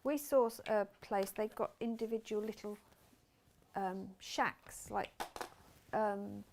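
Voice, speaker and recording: A woman co-hosting a crafting chat, who calmly walks through sewing steps and chimes in with short replies. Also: mid-range voice, slow, creaky. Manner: warm interjections, calm step-by-step instructions. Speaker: co-host. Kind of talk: casual chat while demonstrating sewing.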